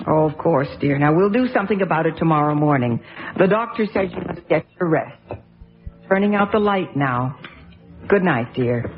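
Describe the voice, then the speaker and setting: A woman playing the soft-spoken, worried mother of a sick boy in a radio drama. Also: low-pitched, lively intonation, normal speed. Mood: soothing. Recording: lo-fi audio under loud music.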